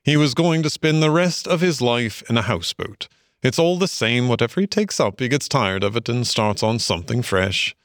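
A man voicing a friendly, easygoing water rat in an animal storybook, genial and gentlemanly in his talk. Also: medium pitch, expressive, moderate pace, no echo, clean studio sound, lightly acted. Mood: wry, resigned. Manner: genial, conversational, old-fashioned English phrasing.

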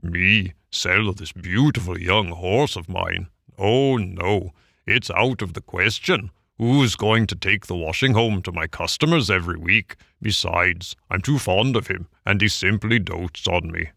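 A man's voice: deep voice, unhurried, creaky, pushed and bright, no room sound, clean studio sound, full character voice. A man voicing a vain, scheming male toad who talks pompously and wheedles. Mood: indignant.

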